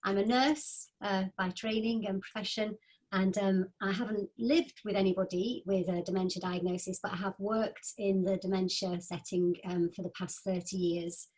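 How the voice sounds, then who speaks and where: A woman from the north of England speaks over a video call, her voice a touch breathy and husky. She talks unhurriedly, filling pauses with ums as she introduces herself. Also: mid-range voice, fairly even intonation, darkish tone, low-quality recording. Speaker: nurse and guest speaker.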